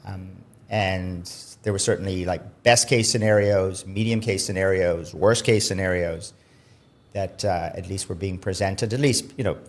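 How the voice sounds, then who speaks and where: Low-voiced man asking long questions. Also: moderate pace. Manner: wordy questions with repeated words. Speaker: podcast host, interviewer. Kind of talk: interview questions.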